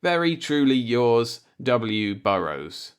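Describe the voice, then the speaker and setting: A man's voice: mid-range voice, very animated, slow pace, clear, resonant tone. A man reading a light-hearted short story aloud as an audiobook narrator, in a scripted storytelling style.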